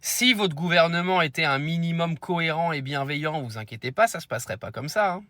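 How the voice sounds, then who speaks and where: Male commentator, forceful bright tone, dry close sound, blunt and indignant. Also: a little high, lively intonation, a little fast, no echo. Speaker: commentator reacting to a video. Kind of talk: opinionated reaction commentary.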